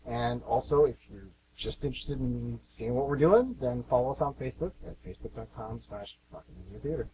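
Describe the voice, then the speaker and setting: A man's voice: mid-range voice, lively intonation, fairly quick, few pauses, mellow, rough recording. A man hosting and narrating a scripted amateur radio play. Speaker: host and narrator. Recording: amateur radio with clear hum noise.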